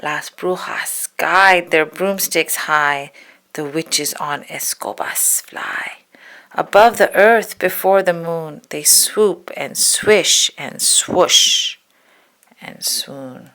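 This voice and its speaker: Very slow, creaky woman reading spookily. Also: sits low. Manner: slow, hushed spooky delivery with stretched words and whispers. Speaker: storybook reader. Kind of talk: dramatic read-aloud of a spooky children's poem.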